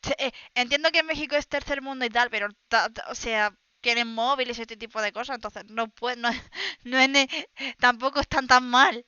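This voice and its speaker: A woman from Spain hosting a reaction stream in Spanish, reacting with excited, exclamatory interjections. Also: a little high, quick pace, hardly any pauses, clean tone, dry recording. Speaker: VTuber host reacting to clips. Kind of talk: live reaction commentary. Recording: dull, slightly lo-fi dry mic.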